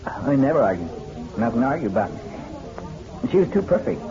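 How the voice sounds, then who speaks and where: A man's voice: mid-range voice, big pitch swings, a little fast. A man playing a bitter, self-pitying drunk and grieving husband in a detective radio drama, world-weary and sardonic. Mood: self-pitying, regretful. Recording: muffled radio recording, music underneath.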